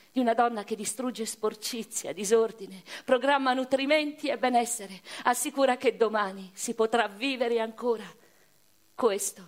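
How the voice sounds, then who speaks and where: An actress playing a passionate, literary eighteenth-century noblewoman, telling her life in an expressive, reflective radio-theatre monologue. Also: medium pitch, close to an everyday voice. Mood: mournful, reflective. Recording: music behind the voice.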